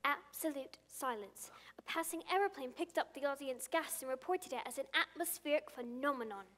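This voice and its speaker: A female actor playing a clever, imaginative little girl who tells a story in a deliberate, theatrical, suspenseful way. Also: sits high. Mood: solemn, suspenseful. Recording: loud music behind the voice.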